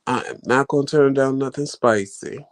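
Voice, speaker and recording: A man's voice: mid-range voice, moderate pace. A man hosting a vlog live stream, chatting casually with viewers in a rambling, folksy way. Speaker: vlog host answering live chat.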